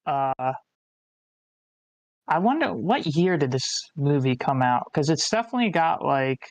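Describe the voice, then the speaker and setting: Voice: medium pitch, sing-song, unhurried, dry recording, highs cut off. Dry, close sound. Informal discussion participant with a mid-range voice, musing aloud in a loose way. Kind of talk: casual chat.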